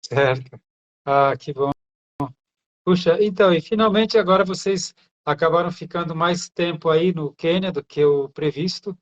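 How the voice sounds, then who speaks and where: A man's voice: fairly high pitch, normal speed. A man hosting a live church interview, asking questions and adding encouraging remarks. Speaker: church show host. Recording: dull, lo-fi audio.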